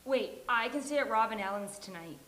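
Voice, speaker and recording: A woman playing a frank, dramatic, neurotic sitcom woman at a table read, speaking with deliberate emphasis. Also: on the high side, animated, a bit bright. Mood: eager. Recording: hissy, roomy live recording.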